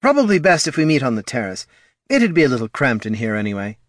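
A man's voice: medium pitch, sing-song, fast talker, no room sound, studio quality. A man voicing a breezy, cheeky halfling schemer in a fantasy audiobook, flippant and casual. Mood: nonchalant.

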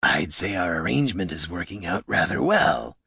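Man voicing a soft, low gentle troll warrior. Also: big pitch swings, moderate pace, creaky, husky, no room sound, clean studio sound, full character voice. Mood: mild, courteous. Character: big gentle troll warrior, calm, loyal, soft-spoken.

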